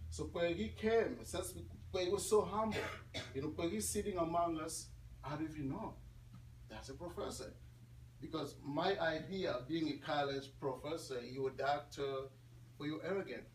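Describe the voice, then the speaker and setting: A man with a Congolese accent gives an informal talk as a guest speaker, in English he learned later. He is conversational and repeats phrases for emphasis. The recording is roomy, picked up from a distance, with clear noise behind him. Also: medium pitch. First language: not English.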